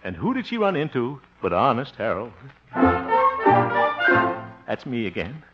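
An actor playing a folksy, good-natured, nervous bachelor in a 1950s radio comedy, hesitant and given to chuckling. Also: male voice, mid-range voice, huge pitch swings, a little slow, over loud music. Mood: jovial, uneasy storytelling. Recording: dull, muffled 1950s radio recording.

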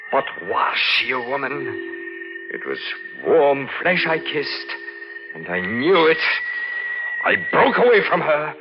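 An actor playing a brooding, unhinged murderer in 1940s radio style, halting and dramatic, swinging from hushed to frenzied. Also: male voice, medium pitch, vocal fry. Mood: frantic. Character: unhinged male murderer, haunted, brooding and bitter. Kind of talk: 1940s radio acting, first-person suspense narration. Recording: dull vintage radio recording with music behind.

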